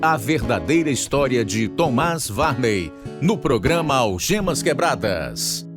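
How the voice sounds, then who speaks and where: A Brazilian male narrator with a medium-pitched voice introduces a radio drama. His delivery is scripted, formal and solemn, set over clear guitar music. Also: very animated, unhurried.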